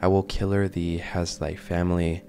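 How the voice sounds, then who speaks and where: Young male narrator, very low register, scripted commentary, close mic, music bed. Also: little pitch movement, normal speed, warm tone. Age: young adult. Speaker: host of horror video essay channel. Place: small room indoors at home. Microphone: large desk microphone, close.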